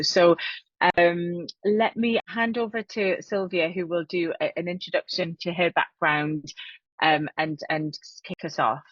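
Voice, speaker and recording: A woman's voice: low-pitched, no echo, lo-fi sound. A middle-aged woman co-hosting a webinar, talking with many fillers and repeated words in a friendly way. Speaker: webinar co-host, hospitality marketing consultant. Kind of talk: webinar presentation.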